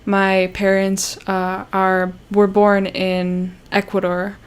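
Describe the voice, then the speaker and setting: A woman's voice: medium pitch, monotone, slow, smooth. A young woman from Canada chatting on a livestream, rambling with many fillers and restarts and sounding self-conscious. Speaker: YouTuber hosting a livestream. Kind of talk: casual livestream chat.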